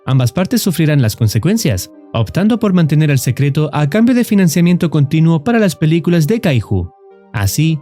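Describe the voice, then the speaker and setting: Mellow, expressive male narrator over music. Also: on the high side. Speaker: narrator of horror recap videos. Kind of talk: scripted narration. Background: background music.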